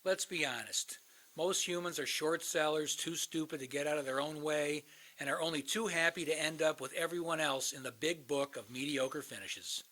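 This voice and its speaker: A man's voice: a little high, moderate pace, pushed and bright. An actor in a radio play, playing a cynical, bitter older man, a world-weary crisis hotline veteran who likes to philosophize.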